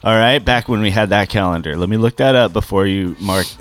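Low-voiced male co-host, dry wisecracker, loves mock-formal asides. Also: brisk. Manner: wisecracking, mock-grandiose, deadpan interjections. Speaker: podcast co-host. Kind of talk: casual comedic chat.

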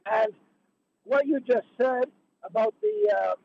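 A hoarse man calling in to a radio show, telling his story in an indignant, rushed way. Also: very high-pitched, very animated. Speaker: caller. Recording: muffled phone call with noise.

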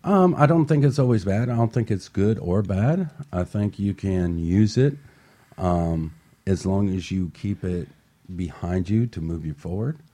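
Deep-voiced man hosting a radio talk show. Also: a little slow. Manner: upbeat motivational, relaxed with fillers. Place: radio studio.

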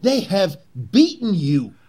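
An actor playing a zealous, persuasive Confederate conspirator in a historical drama, with a husky voice and theatrical, oratorical delivery. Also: male voice, high voice, very animated, slow, mellow, full character voice. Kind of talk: period historical drama acting.

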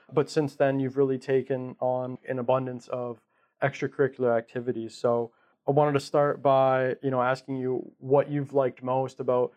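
A man's voice: mid-range voice, a little mellow. A young man hosting a campus interview show, asking questions in a friendly way with lots of fillers. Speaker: host.

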